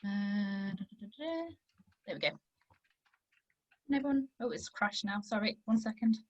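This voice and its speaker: A woman with a hoarse, croaky voice, giving an academic presentation over a video call in a conversational style with many fillers. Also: medium pitch, normal speed, darkish tone, no echo, dull audio. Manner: conversational lecturing with frequent "um" fillers. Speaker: invited academic speaker.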